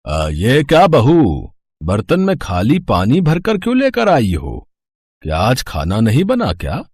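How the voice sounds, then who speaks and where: A man's voice: medium pitch, huge pitch swings, measured pace, darkish tone, breathy edge, full character voice. A man voicing a tired, defeated older father in a village moral story, speaking in a resigned way. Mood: puzzled.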